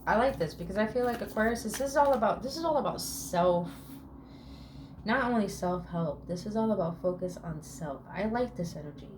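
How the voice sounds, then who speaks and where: A woman's voice: mid-range voice, expressive, a little mellow, a little hiss or hum. A woman giving a casual spiritual tarot reading, affirming and chatty, swearing casually and murmuring "mm" between card meanings. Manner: drawn-out words with murmured "mm". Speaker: tarot reader.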